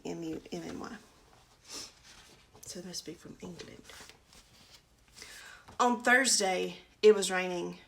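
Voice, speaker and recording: Female storyteller for a children's book live stream, medium pitch, informal and chatty. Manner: chatty asides to viewers. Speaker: read-aloud storyteller.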